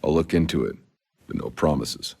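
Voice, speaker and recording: Game mercenary: extremely low, husky male voice, world-weary and sardonic, heard with long reverb. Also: moderate pace, darkish tone, exaggerated voice. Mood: weary, guarded. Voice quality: gravelly, husky. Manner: sardonic, gruff, laconic. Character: weary, cynical, foul-mouthed male sci-fi soldier mercenary. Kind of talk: video game voice acting.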